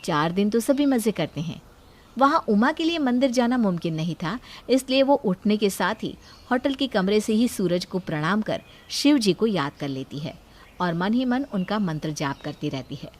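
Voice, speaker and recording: A woman narrates a scripted moral tale as a storyteller. Her voice sits at a middle pitch. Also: animated, moderate pace, a little mellow.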